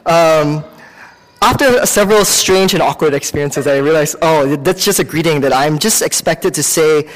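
A man from Singapore with a Singaporean accent preaches in a conversational, halting way, weaving in personal anecdotes and correcting himself as he goes. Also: fairly high pitch. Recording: long hall reverb.

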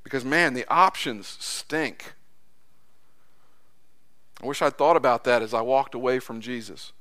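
A man preaching a sermon in a church, impassioned, his voice climbing high as he acts out quotes, then dropping low and soft. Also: measured pace. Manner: impassioned, voice rising high when acting out quotes. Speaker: pastor.

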